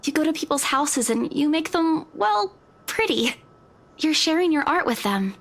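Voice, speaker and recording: An actress playing a chatty, eager young estate agent in a horror visual novel, youthful and informal in manner. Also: female voice, high-pitched, animated, moderate pace, fairly bright tone. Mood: curious, admiring.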